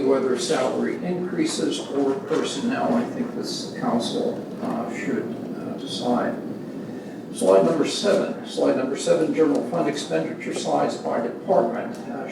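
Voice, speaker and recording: Halting older man at a council podium. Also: medium pitch, creaky. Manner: halting, many uh fillers, reads figures. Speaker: citizen speaking at public hearing. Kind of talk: public comment at council meeting. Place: city council chamber, large room. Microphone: podium mic from far camera. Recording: echoey hall, distant, noisy.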